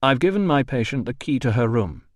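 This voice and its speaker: A man's voice: mid-range voice, expressive, fairly quick, dry recording, studio quality, natural voice. A man voicing a weary, patient doctor who speaks firmly and briefly. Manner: firm, tired, clipped.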